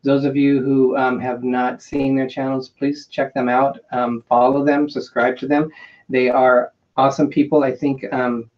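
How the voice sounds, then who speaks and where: A man's voice: mid-range voice, little pitch movement, a little slow. A man co-hosting a travel vlog, chatting casually on a livestream. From England, by home country; says no English accent. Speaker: co-host of a travel vlog channel. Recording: dull, slightly lo-fi livestream sound.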